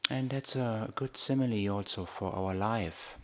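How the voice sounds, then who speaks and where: A man guiding a meditation as a Buddhist teacher, speaking in short, measured phrases with long pauses between them. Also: on the low side, fairly bright tone. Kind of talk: guided meditation talk.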